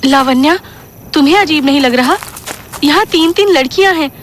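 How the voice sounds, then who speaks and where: Actress as suspicious young woman, high pitch, chatty, questioning, audio drama. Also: normal speed, a little hiss or hum, close to an everyday voice. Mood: suspicious, uneasy.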